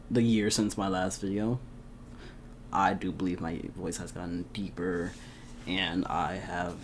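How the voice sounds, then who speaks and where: A young man chatting casually to his camera at home, laughing often and getting animated at times. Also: lowish voice, vocal fry, slight background noise. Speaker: vlogger. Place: indoors at home.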